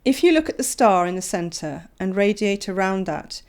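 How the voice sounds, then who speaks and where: A middle-aged woman from Wales reads a scripted voice-over in a calm, measured way. Her tone stays reflective and unhurried, as an artist talking through her own pieces. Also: mid-range voice.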